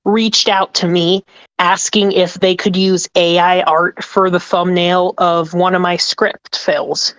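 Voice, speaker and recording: A woman's voice: fairly low pitch, little pitch movement, slow pace, fairly bright tone, no echo, poor audio. A woman on a group podcast talking about her work as an ASMR creator, earnest and rambling, with many fillers. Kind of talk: casual group discussion.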